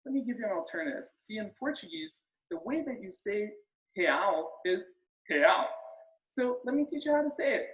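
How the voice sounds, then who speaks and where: A woman's voice: mid-range voice, expressive, normal speed. A woman teaching a group pronunciation interactively, coaching them and counting them in to repeat sounds. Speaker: language teacher. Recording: roomy, echoey sound.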